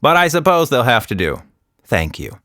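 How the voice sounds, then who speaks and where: A man's voice: medium pitch, very animated, normal speed, bright, forceful tone, no room sound, studio quality, pitched above the performer's own voice. A man voicing a talkative, short-sighted female monkey who rambles and interrupts in a children's story. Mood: matter-of-fact.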